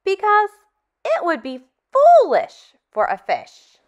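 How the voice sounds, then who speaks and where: A woman reads aloud to young children in a high voice. Her pitch swings widely in a sing-song, exaggerated way. She goes slowly and enunciates each phrase for preschool listeners. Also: wildly swooping pitch, lo-fi sound. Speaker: storytime host for young children.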